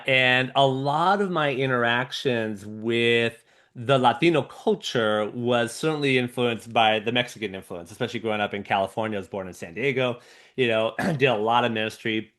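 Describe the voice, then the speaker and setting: Halting middle-aged male interview host. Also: medium pitch. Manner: warm, halting, many restarts. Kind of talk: conversational interview.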